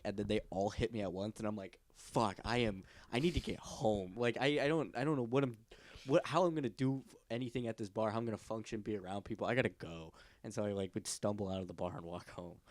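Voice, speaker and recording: A man's voice: on the low side, a little fast, darkish tone, no echo. A man in his twenties co-hosting a podcast in casual, rambling chat, with a nasal voice, swearing and laughing often. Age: 20s. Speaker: podcast co-host.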